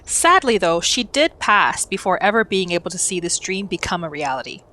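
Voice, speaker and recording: A woman's voice: on the low side, very animated, normal speed, sharp tone. A woman narrating a character's backstory for a video game roleplay in a scripted storytelling style.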